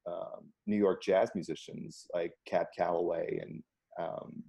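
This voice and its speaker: Deep, mellow man chatting loosely. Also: steady tone, a little slow, vocal fry, breathy edge. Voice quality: low baritone voice. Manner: rambling, many ums, laughs often. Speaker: singer. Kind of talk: casual conversation.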